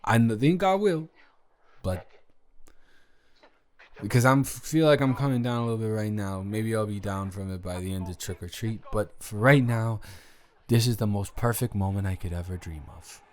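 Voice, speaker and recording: A man's voice: on the low side, normal speed, darkish tone, lightly acted. A man playing a drunk young roommate sincerely confessing love in an intimate roleplay audio drama, stammering and rambling as he speaks to the listener. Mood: relieved, affectionate. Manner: stammering, rambling, repeating words, tipsy.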